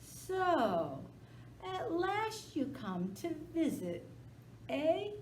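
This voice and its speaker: A greedy, slow-witted alligator who hisses and gloats over his prey, as a woman puts him on while reading a storybook. His threats come slow and deliberate. The recording sits in an echoey room with faint noise behind. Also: extremely high pitch, very animated, a little mellow, pitched above the performer's own voice, full character voice. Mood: gloating. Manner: hissing, threatening. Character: greedy dim male alligator, hissing and menacing.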